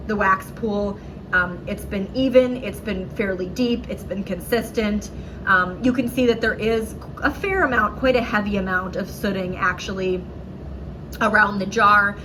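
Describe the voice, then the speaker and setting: A woman's voice: medium pitch, fairly even intonation, unhurried, background noise. Chatty woman with a stuffy cold. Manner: chatty, lots of "um"s, self-correcting. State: has a cold, slightly nasal and sore throat. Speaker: candle reviewer. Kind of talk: casual product review vlog. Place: at home indoors.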